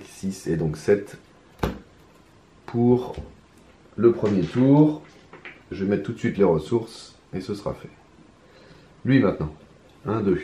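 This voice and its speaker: A hoarse man talking his way through a solo card game in hesitant, mumbled commentary. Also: fairly low pitch, mellow, slight background noise. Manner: hesitant, mumbling, many restarts, muttering to himself. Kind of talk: casual solo gameplay commentary. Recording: roomy echo, faint ambience.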